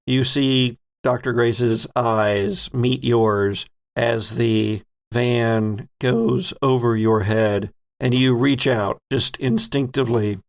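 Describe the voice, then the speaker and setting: A man narrating a tabletop horror game as game master, with deliberate, dramatic storytelling. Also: mid-range voice. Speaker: game master, podcast host.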